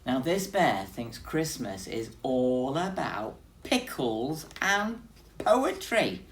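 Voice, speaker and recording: A woman teacher reading a story aloud expressively, speaking directly to young children. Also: rumbling low.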